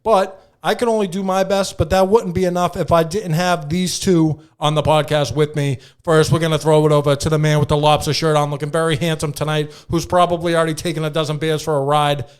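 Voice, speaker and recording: A man's voice: fairly high pitch, flat delivery, brisk, hardly any pauses, clean tone. A man hosting a casual comedy podcast, bantering in a joking, self-deprecating way. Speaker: podcast host.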